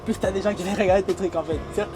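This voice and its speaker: A young man with a high, bright voice and a foreign accent talks in street slang, looping his phrases and breaking into laughs. He is not a native French speaker. He sits inside a limousine, with clear music playing behind him. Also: steady tone, racing. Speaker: interviewee. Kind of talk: casual interview talk.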